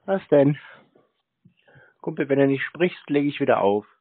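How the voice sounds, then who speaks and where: Man, medium pitch, deadpan, curt answers, stonewalling call recipient, narrow phone-like audio. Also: unhurried, slightly rough.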